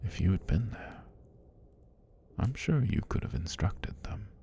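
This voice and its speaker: A man voicing an ancient serpent god, calm, predatory yet tender, in a slow, formal, whispery ASMR roleplay with a husky, breathy low voice. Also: slight background noise, heavily acted. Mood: wistful, gentle. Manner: slow, deliberate, formal speech with whispery delivery. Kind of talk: intimate ASMR roleplay monologue.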